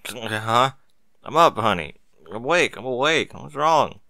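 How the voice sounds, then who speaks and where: A man's voice: medium pitch, lively intonation, a little slow, gravelly, pushed and bright, no room sound, exaggerated voice. A man voicing a drowsy, good-natured husband, groggy and just awake, in a storybook reading. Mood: groggy, confused.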